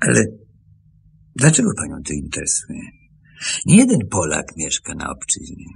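An aging emigrant watchmaker in a radio drama, wounded by an old injustice. His voice is low and very husky, with a halting, emotional delivery that swings widely in pitch. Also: wildly swooping pitch, exaggerated voice. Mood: reminiscing, halting. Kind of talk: radio play acting.

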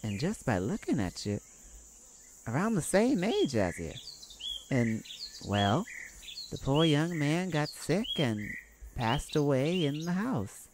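A woman voicing a kindly, hesitant male neighbor in an ASMR roleplay, telling a story in a halting, folksy way. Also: low voice, big pitch swings, noisy background, heavily acted. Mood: hesitant, somber. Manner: folksy, halting, sighing between thoughts.

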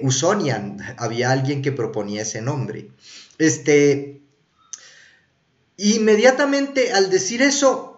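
A man, explaining things casually and conversationally as a streamer, often checking in with rhetorical tags. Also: fairly high pitch. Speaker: streamer reviewing films and TV. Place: small indoor room. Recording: roomy, echoey, slightly dull sound.

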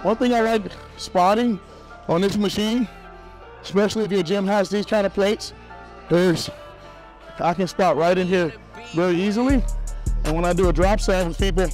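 A middle-aged American man talks in a notably high-pitched voice, explaining things as a trainer would in a casual gym vlog. Faint hip hop plays behind him. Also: normal speed, long pauses, a little mellow, soft background music. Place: large busy indoor gym.

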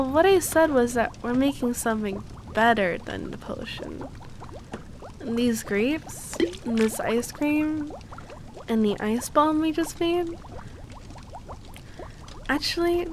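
A woman playing a cute, kind and teasing young alchemist girl in an ASMR roleplay, speaking softly and soothingly close to the mic. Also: high voice, a little hiss or hum, full character voice. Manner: soft, soothing, unhurried, playfully reassuring.

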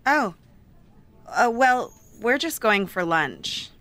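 A woman reading a scripted coursebook dialogue as a magazine colleague, clear and polite. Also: a little high, very animated, takes it slowly, bright, forceful tone, dry recording, full character voice. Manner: clear, polite and matter-of-fact.

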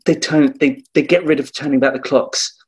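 A man's voice: fairly high pitch, fairly even intonation, moderate pace, slightly rough. A man playing a wistful, sentimental sweetheart in improvised comedy, tentative and earnest. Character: wistful, sentimental sweetheart longing for private time.

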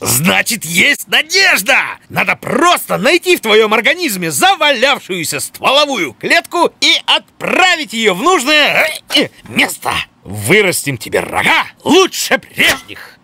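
Animated, urging cartoon bird. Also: male voice, extremely high pitch, measured pace, vocal fry, husky, dry recording, exaggerated voice. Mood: excited, hopeful. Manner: energetic, exhorting, emphatic. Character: earnest, optimistic male cartoon bird cheering on a friend. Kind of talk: animated children's cartoon dialogue. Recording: music under the voice.

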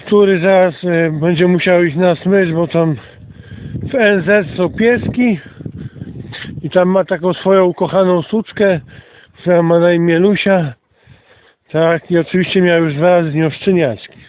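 A man's voice: high-pitched, a little slow, long pauses. A man rambling casually as he hosts a walk through the countryside, recorded outdoors on a phone. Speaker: host of a homemade audio walk recording. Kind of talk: casual rambling commentary while walking. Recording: tinny phone-quality recording with outdoor noise.

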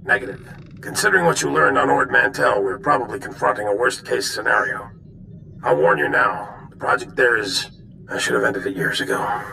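A grave military commander in a sci-fi video game. His voice is heavily creaky yet bright and forceful, with an authoritative manner. Faint noise runs behind it. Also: mid-range voice, a little fast, poor audio. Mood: regretful, grave. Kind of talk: video game cutscene acting.

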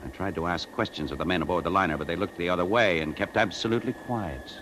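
A man's voice: deep voice, fast talker, noisy background, natural voice. An American actor playing an earnest advertising man turned rebel in a 1950s radio drama. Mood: grave, reflective.

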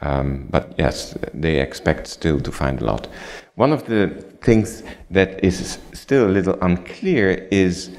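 A man at a lectern in a darkened lecture hall answers audience questions in a measured way, pausing often with ums and restarts. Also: sits low, animated, a little mellow. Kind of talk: lecture Q&A, explanatory.

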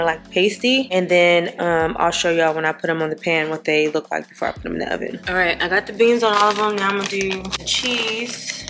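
A young woman vlogging casually to a handheld camera at home, chatty and teasing, bluntly scolding her daughter at times. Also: medium pitch. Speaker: mom vlogger. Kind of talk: casual vlog chat to camera. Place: home kitchen and backyard pool. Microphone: handheld camera at arm's length.

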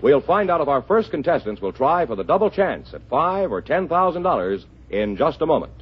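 A man's voice: on the high side, sing-song, fairly quick, a little hiss or hum. A man announcing a radio show from a script in 1950s broadcast style. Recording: muffled old radio recording. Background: music.

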